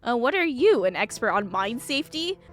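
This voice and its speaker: A woman's voice: a little high, sing-song, fairly quick. A woman voicing a cocky, know-it-all teenage girl in a game, snippy and boastful.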